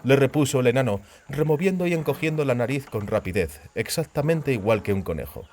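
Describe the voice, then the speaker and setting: A man's voice: medium pitch, lively intonation, brisk, darkish tone, a little hiss or hum. A man reading scripted literary narration for a fantasy audiobook as its narrator.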